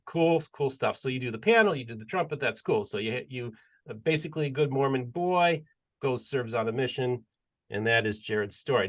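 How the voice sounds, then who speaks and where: Chatty male podcast host. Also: medium pitch. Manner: chatty, leads the conversation with long questions. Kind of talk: casual interview chat.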